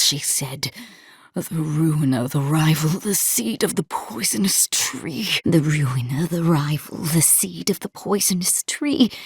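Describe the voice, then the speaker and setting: A woman voicing a stern, haunted imperial captain in a video game, with formal, deliberate wording. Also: on the low side, slightly rough, dry recording, studio quality, full character voice. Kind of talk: scripted game character dialogue.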